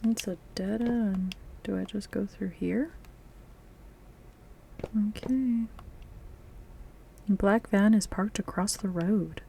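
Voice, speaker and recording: A woman's voice: medium pitch, slow pace, pauses often, mellow. A woman casually reading a visual novel aloud as she plays, stumbling over lines and correcting herself. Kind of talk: casual let's-play reading of game dialogue. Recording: clear background noise.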